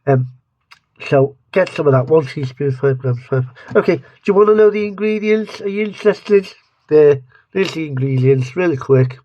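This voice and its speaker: A man's voice: on the high side, animated, normal speed, dark tone, highs cut off. A man with a lisp giving a rambling, joking taste-test review, often restarting his sentences. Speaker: vlogger reviewing mustards. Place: home studio.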